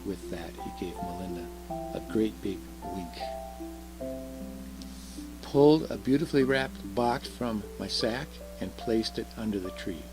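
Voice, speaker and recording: A man's voice: on the low side, expressive, measured pace, rough recording. A man reading a children's story aloud expressively, in the role of Santa. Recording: music behind the voice.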